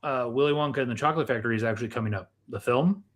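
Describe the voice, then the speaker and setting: A man's voice: mid-range voice, rapid-fire, fairly bright tone. A middle-aged man, co-hosting a casual, chatty podcast with food tasting. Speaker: podcast co-host. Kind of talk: casual chat, snack tasting.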